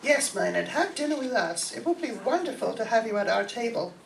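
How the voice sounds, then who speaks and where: A woman's voice: fairly high pitch, big pitch swings, brisk, creaky, recorded from a distance, poor audio. A woman playing a fussy, sweet, self-conscious aunt with a crush in an old-time radio sitcom. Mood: flustered, sweet.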